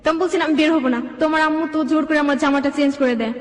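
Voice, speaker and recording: A woman's voice: sits high, steady tone, very fast. A young woman telling her life story conversationally in long run-on detail, quoting dialogue, in a reverberant recording. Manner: run-on, detailed retelling with reported speech. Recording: echoey, reverberant sound.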